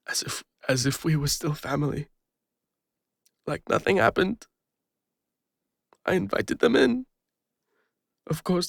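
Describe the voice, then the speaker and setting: A man plays a guilt-ridden fantasy adventurer with an English accent and a slightly high, gravelly voice. He speaks in a halting, confessional way, wistful and exposed. The sound is dry and close, like an intimate audio roleplay. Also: no room sound, exaggerated voice. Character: Killian, guilt-ridden retired male adventurer, vulnerable, lonely, wistful.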